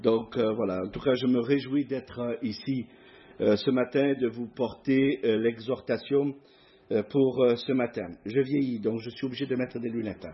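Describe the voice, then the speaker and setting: A man's voice: mid-range voice, steady tone, lo-fi sound. Man with a southern French accent preaching. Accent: southern French (Provence). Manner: hesitant, many filler pauses, friendly. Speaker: guest preacher. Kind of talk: church sermon exhortation. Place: church hall before a masked congregation.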